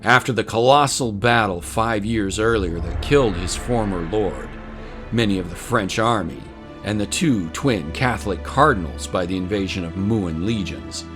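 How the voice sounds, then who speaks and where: A man's voice: fairly low pitch, animated, normal speed. A man narrating scripted dramatic fiction as a storyteller. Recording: music bed under the voice. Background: music.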